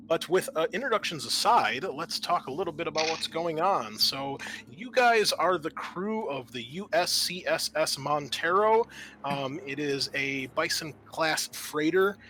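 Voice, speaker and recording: Bright-voiced man hosting a tabletop game. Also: a little high, a little hiss or hum. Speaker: host and game master. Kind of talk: narrating and explaining a tabletop sci-fi game.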